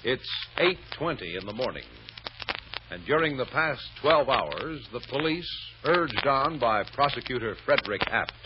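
A man's voice: mid-range voice, animated, measured pace. A man narrating an old radio drama serial as its announcer, in a dramatic storytelling style. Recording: muffled, noisy old radio recording.

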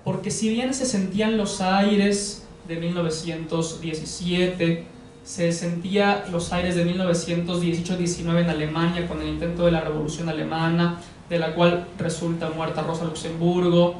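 Young male lecturer, Mexican accent, high voice, measured academic delivery, picked up from far off in a roomy space. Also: flat delivery, darkish tone, slight background noise. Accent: Mexican Spanish. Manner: measured, explanatory, frequent hedging fillers. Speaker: course lecturer. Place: living room with sofas, indoors. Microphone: far camera. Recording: echoey room from far off.

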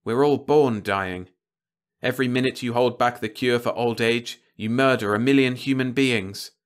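A man's voice: medium pitch, normal speed, fairly bright tone, voice pushed up, lightly acted. A man voicing an earnest, determined male news operator who talks in an urgent, insistent way.

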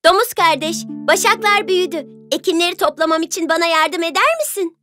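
A woman voicing a friendly but firm, hard-working little red hen in a children's cartoon, with earnest, clear delivery. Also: very high-pitched, expressive, brisk, sharp tone, faint music behind, exaggerated voice. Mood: hopeful, asking. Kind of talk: children's cartoon fairy-tale acting.

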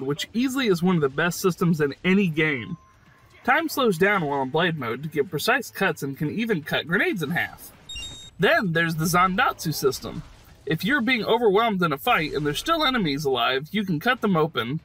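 High-pitched, animated male game commentator. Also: rough recording. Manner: jokey, emphatic. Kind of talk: enthusiastic scripted commentary.

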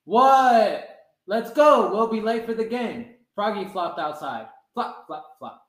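Young man, slightly high voice, unhurried children's storybook read-aloud, echoey room. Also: lively intonation. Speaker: soccer goalkeeper reading a children's book. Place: small room with blinds, indoors. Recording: roomy indoor webcam sound.

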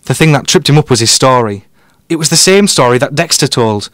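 A man playing a confident, quick-witted amateur detective in an audio drama, explaining his deductions in a teasing, conversational way. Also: mid-range voice, lively intonation, fairly bright tone, no room sound, studio quality, natural voice. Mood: assured, explaining. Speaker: podcast guest detective.

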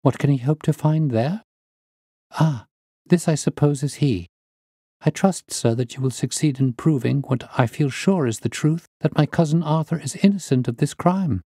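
A man playing an earnest young lady, raised pitch. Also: normal speed, dark tone, breathy edge, no echo, studio quality. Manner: polite, imploring. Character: earnest young English lady pleading for her cousin. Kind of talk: audiobook character reading.

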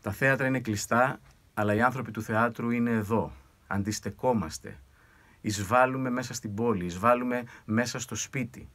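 Man, 50s, middle-pitched, reads a manifesto on a clean studio mic. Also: fairly bright tone. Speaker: theatre director. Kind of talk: scripted manifesto reading. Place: recording studio. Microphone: studio microphone with pop filter.

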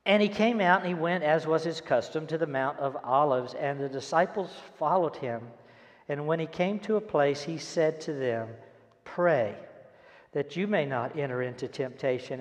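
An older man preaches a sermon and reads scripture with dramatic, emphatic delivery and deliberate pauses. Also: fairly high pitch. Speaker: pastor. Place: large church auditorium stage, indoors. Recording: echoey hall, long reverb.

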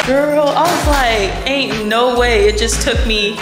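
Slow-talking young woman vlogger. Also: on the high side, music in the background. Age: 20s. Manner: slangy, chatty, animated storytelling. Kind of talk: casual vlog chat to camera. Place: in a car. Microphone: phone camera held close.

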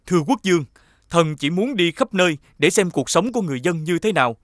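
Casting: earnest, courageous young fairy-tale hero, southern Vietnamese accent, slightly high, very animated intonation. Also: male voice, fast talker, dry recording, studio quality. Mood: respectful. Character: brave, earnest, kind young male folk-tale hero.

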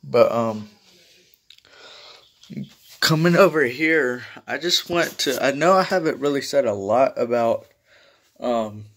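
Slow-paced guy, casual and halting. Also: medium pitch, lively intonation, fairly bright tone. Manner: halting, informal. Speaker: video creator showing a weather radio collection. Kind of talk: casual vlog chat. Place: small room at home, indoors. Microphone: handheld phone or camera held close.

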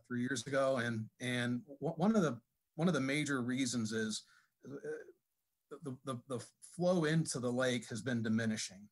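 A man giving a technical presentation at a conference, speaking haltingly with many fillers and restarts. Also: mid-range voice. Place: conference session room.

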